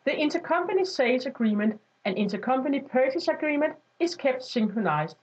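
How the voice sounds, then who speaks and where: High-pitched woman narrating a software tutorial. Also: big pitch swings, fairly quick. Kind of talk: scripted instructional narration. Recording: dull lo-fi voice-over.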